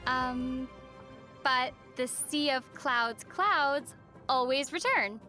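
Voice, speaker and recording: A woman voicing a gentle, thoughtful young traveller heroine in a video game, with a soft, measured, musing delivery. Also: sits high, exaggerated voice. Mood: lightly curious. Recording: music behind the voice.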